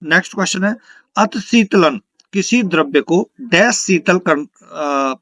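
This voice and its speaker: A man's voice: sits high, expressive, measured pace, a bit bright, no echo. A man teaching an exam lesson, reading out questions and answers and repeating them for emphasis.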